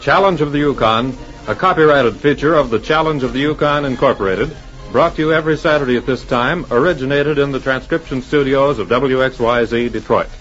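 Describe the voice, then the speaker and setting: A man's voice: mid-range voice, fairly quick, a bit bright. A man announcing and narrating a scripted 1940s radio program in a formal style. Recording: muffled old radio recording. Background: music.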